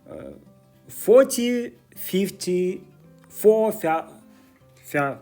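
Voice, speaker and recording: A man's voice: high-pitched, very animated, extremely slow, dark tone, soft background music. A man in his thirties, a native Russian speaker with a Russian accent, chatting curiously in broken English as he vlogs from stores on a handheld phone. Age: 30s. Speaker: vlogger. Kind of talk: casual walking vlog. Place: stores in the city.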